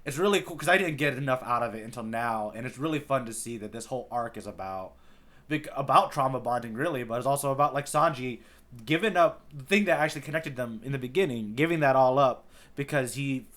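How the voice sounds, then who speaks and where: A man's voice: medium pitch, brisk, fairly bright tone. Rambling man chatting casually. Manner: rambling, thinks aloud, frequent "like". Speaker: podcast co-host. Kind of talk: casual chat recapping a manga arc.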